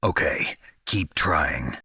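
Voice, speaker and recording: An actor playing a gruff, weary special-ops soldier in a video game, terse, deadpan and gravelly. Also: male voice, very deep voice, very slow, no room sound, studio quality, exaggerated voice. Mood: terse, questioning. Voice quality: gravelly, husky. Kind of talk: dramatic video game voice acting.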